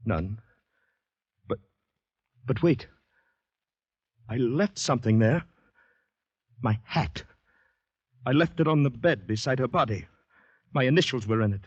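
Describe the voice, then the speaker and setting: A man's voice: mid-range voice, expressive, slightly rough, no echo. Haunted, shaken man, muffled old radio tone. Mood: anxious. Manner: halting, dramatic first-person narration. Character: distraught man haunted by finding a murdered woman. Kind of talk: 1940s radio suspense acting. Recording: 1940s radio broadcast, faint music behind.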